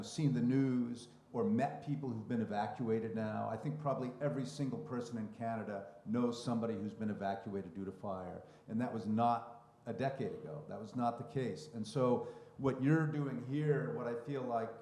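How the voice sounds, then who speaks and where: A man's voice: medium pitch, a little mellow. A man moderating a live panel conversation as a writer, speaking in a reflective, expansive way as he thinks aloud into a microphone. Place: public library event room. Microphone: handheld or stand mic. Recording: roomy echo of a live event.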